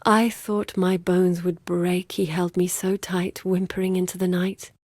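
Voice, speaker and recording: An actress playing a haunted, determined young woman researcher in a video game, speaking earnestly and solemnly in a measured, dramatic way. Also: fairly low pitch, flat delivery, darkish tone, no echo, clean studio sound. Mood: wistful, weary.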